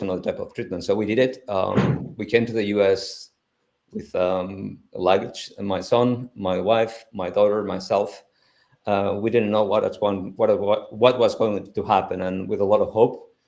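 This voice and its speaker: A man's voice: on the low side, creaky, darkish tone. A middle-aged man from Spain with a Spanish accent, speaking earnestly on a live stream panel, with many restarts. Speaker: foundation founder, panel guest.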